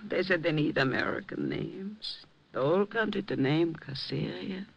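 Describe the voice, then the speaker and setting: A woman's voice: low-pitched, lively intonation, normal speed, gravelly, slightly rough, dull audio, exaggerated voice. An actress playing a bossy, protective old immigrant mother in a 1950s radio drama, fussing in broken English. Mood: confiding, earnest. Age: older. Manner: commanding, fussy, broken English.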